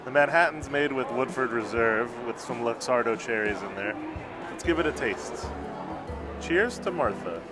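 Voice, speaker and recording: A man's voice: medium pitch, animated, moderate pace, over loud music, low-quality recording. Enthusiastic man reviewing food. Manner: enthusiastic, gushing about food. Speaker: food vlogger. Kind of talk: casual restaurant review. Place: busy indoor restaurant patio. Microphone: lapel mic.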